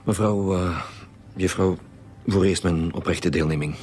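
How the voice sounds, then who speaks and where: An actor playing a gruff, blunt chief homicide inspector with a Flemish accent in a radio drama. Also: male voice, sits low, measured pace, a little mellow. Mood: formal, questioning. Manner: blunt, businesslike. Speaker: detective. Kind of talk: naturalistic radio drama acting.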